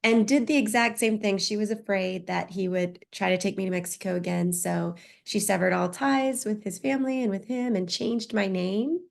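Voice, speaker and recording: A woman's voice: medium pitch, steady tone, normal speed, gravelly, few pauses, darkish tone. A woman in her thirties or forties, a podcast guest telling her life story openly and reflectively, with frequent restarts. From United States. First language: Spanish. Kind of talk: personal story in an interview.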